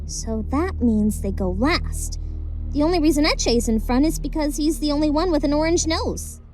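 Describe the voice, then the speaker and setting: High, animated young female cartoon creature played by a woman. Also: moderate pace, faint music behind, poor audio. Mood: eager, reasoning. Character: bright young female Zoombini puzzle solver with glasses. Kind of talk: audio drama acting.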